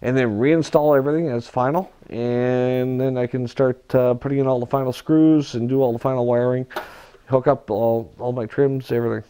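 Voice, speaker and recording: A man giving hands-on instruction in a workshop, talking viewers through his work in a relaxed, practical way while he works at the bench. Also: mid-range voice, normal speed, clean tone. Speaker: aircraft builder demonstrating.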